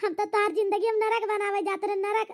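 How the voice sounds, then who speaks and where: A performer plays an elderly village mother-in-law in a cartoon comedy, with an extremely high, childlike pitch and an Awadhi accent. She laments at length, repeats herself, and is blunt and full of regret. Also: level tone, a little fast. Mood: approving.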